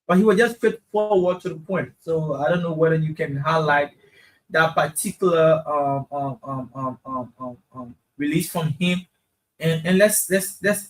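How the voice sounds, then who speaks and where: A man's voice: fairly high pitch, moderate pace, rough recording. A man, co-hosting a talk show in casual chat, hesitant and full of ums and restarts. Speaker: co-host of a night talk show.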